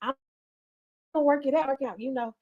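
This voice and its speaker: A woman's voice: sits high, brisk, phone-quality sound. A woman, chatting casually and animatedly as a podcast host on a livestream. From North Carolina, US. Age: 30s. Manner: chatty, animated, addresses listeners as y'all.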